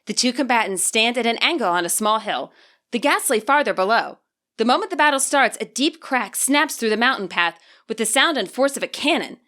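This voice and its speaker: A woman reading her own fan fiction aloud as an audiobook, with expressive storytelling. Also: fairly high pitch, fairly quick. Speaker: fanfiction author reading her podfic. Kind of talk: audiobook narration.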